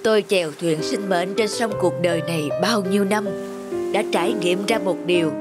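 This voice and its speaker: An actor playing a weary, wise old male boatman in an animated fable, speaking in a grave, measured, admonishing way. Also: mid-range voice, lightly acted. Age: older. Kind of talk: animated moral fable dubbing. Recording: loud music behind the voice.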